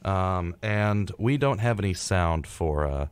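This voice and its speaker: Very deep-voiced young American man. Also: lively intonation, slow pace, clean tone, no echo. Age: young adult. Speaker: college radio co-host. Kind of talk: casual live radio chat. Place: college radio studio.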